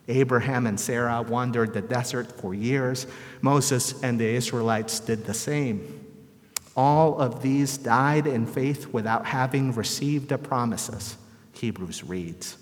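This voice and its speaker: A man from El Salvador preaching a sermon in a measured, rhetorical way with deliberate phrasing and repetition. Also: medium pitch. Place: large reverberant church or hall. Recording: echoey hall with long reverb.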